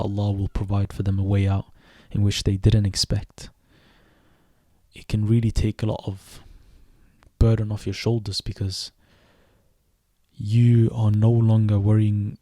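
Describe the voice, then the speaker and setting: Low, even-toned reflective young man. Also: no room sound, studio quality. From Sweden. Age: 20s. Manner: thoughtful, measured, with pauses and self-corrections. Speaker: podcast host. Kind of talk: reflective solo podcast monologue.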